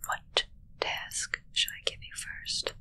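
A woman playing a possessive, commanding witch in a whispered ASMR roleplay, softly taunting and close to the microphone. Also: takes it slowly. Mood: imperious, demanding. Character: possessive, commanding young female witch addressing her familiar.

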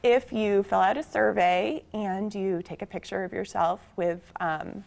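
Young woman with a slightly gravelly voice. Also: medium pitch, fairly even intonation, unhurried, darkish tone. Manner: chatty, hesitant, many ums. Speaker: farmers market guest. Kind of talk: morning show interview. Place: TV studio set.

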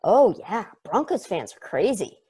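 A man reading an excitable, chatty space probe in a table read over a video call, rambling off into tangents. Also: sits high, measured pace, husky, full character voice. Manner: enthusiastic, rambling, tangent-prone.

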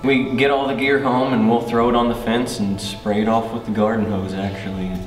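A man's voice: mid-range voice, level tone, a little fast. A man, talking casually in an interview. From Missouri, United States. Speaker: caving class guide. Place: inside a rocky cave. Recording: distant, echoing cave, faint music.

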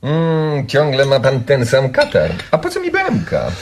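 An actor playing a tipsy, elated, penniless romantic in a radio comedy, rambling and effusive. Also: male voice, mid-range voice, huge pitch swings, a little slow, a little hiss or hum. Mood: musing. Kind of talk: radio comedy drama acting.

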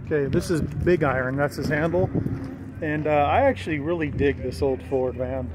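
Higher-pitched American man, casual outdoor commentary. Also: moderate pace. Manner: informal, enthusiastic, slangy. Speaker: vlogger filming a CB radio meetup. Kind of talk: casual walk-around commentary. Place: outdoors in a gravel parking lot. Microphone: handheld camera or phone mic. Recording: clear outdoor background noise.

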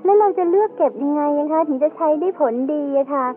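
A woman's voice: high voice, fast, lightly acted. A woman playing a curious, polite little girl who asks questions in a childlike way in an educational radio drama. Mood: curious, eager. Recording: tinny band-limited audio with faint music.

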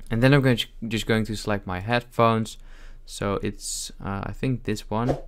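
A man narrating a casual software tutorial as its host, speaking off the cuff with frequent hesitations. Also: lowish voice, fairly even intonation, normal speed, smooth.

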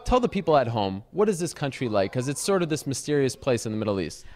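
Fast-talking young male interviewer. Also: medium pitch, big pitch swings, a little mellow. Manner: brisk, upbeat questioning. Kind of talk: sports interview. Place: indoor hallway with stone wall. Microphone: handheld mic.

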